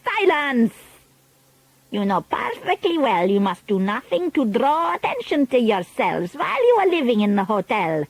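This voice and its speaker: Grand old witch villain: pompous, commanding, high and lively. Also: normal speed, no echo, rough recording. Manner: grandiose, broken grammar, commanding. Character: scheming, pompous old female witch leader. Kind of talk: storybook reading.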